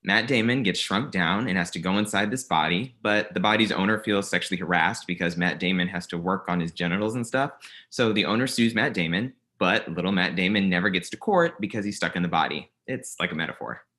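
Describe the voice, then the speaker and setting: An actor at a comedy table read playing an aggrieved, smugly ambitious office rival, earnest and combative. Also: male voice, low voice, brisk, hardly any pauses, bright, forceful tone. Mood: self-assured.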